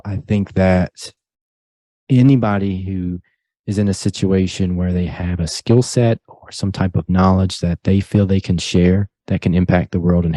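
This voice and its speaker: A man talking as a podcast guest in a casual interview, earnest and motivational in a steady way. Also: low-pitched, dry recording. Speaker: podcast guest, real estate broker.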